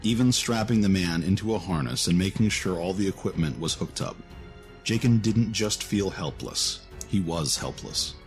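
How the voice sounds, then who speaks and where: A man with a very deep voice reads a dramatic story as narrator. The delivery is scripted storytelling, and faint music plays behind him. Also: moderate pace.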